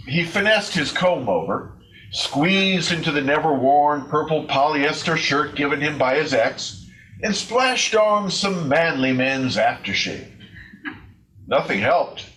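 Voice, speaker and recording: A man reading a scripted story aloud as a readers theater performer, deliberately and with comic emphasis. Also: a little high, fairly bright tone, a little hiss or hum, poor audio.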